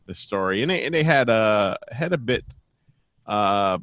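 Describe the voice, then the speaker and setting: A man's voice: fairly low pitch, animated, measured pace, fairly bright tone, no room sound, muffled recording. A man co-hosting a podcast in casual chat, with a wry, grumbling manner. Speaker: podcast co-host.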